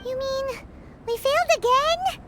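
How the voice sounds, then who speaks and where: A woman voicing a small, cheeky, excitable childlike fairy companion in a video game, with a chirpy, cutesy, expressive delivery. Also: very high-pitched, a little slow, very noisy. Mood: disappointed.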